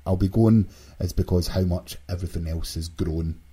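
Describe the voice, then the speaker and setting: A man hosts a chatty podcast in a very deep voice. His tone is soft-edged and husky, and his talk is casual and full of banter. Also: fairly even intonation, moderate pace. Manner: jokey banter. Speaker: podcast host. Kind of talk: casual group podcast chat.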